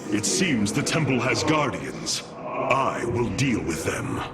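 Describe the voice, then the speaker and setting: Noble alien warrior commander, formal and unhurried, expressive delivery over heavy music. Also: male voice, on the low side, very noisy, full character voice. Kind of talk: video game voice acting. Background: loud game music.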